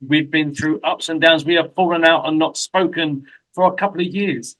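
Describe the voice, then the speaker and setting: A man with a London accent hosts a relaxed interview, chatty and quick to poke fun at himself. His voice sits a little high and rings bright and forward. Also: poor audio. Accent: London English. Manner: chatty and self-deprecating, quick asides. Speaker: host. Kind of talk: casual interview chat. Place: lives in Mexico.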